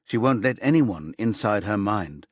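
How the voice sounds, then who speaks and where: A man narrating a crime thriller audiobook in a measured, suspenseful, scripted manner. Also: on the low side, expressive, no echo, clean studio sound.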